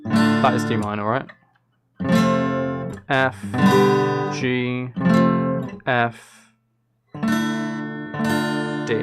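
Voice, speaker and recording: A British man thinking aloud in a rambling, casual way, like a streamer chatting while he works on music. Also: medium pitch. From Britain. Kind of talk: casual chat while making music on a livestream. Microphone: streaming microphone, close.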